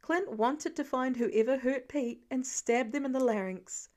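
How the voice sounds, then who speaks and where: A woman's voice: high voice, measured pace, darkish tone. A woman narrator reading a scripted piece of fiction as an audiobook.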